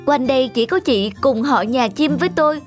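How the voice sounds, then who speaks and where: Small cartoon mother bird, female performer: high, worried, earnest and imploring, over music. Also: moderate pace, a little mellow, lightly acted. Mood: pleading. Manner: pleading, earnest. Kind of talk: cartoon animal fable acting.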